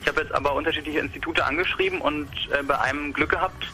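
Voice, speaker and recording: A man's voice: a little high, steady tone, quick pace, sharp tone, a little hiss or hum, poor audio. A young man from Berlin talking casually about a hobby robot project, rambling with many fillers and restarts. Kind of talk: casual technical talk.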